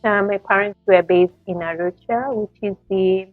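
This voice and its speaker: A woman's voice: medium pitch, fairly even intonation, normal speed, no echo, soft background music. A Tanzanian-accented woman, a medical doctor, answering interview questions thoughtfully, often repeating words as she gathers her thoughts. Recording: thin phone-like call audio.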